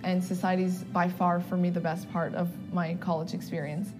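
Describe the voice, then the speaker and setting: A woman's voice: on the low side, flat delivery, normal speed. A young woman, a college student, giving a casual personal testimonial. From Washington, D.C. area. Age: young adult. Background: loud music.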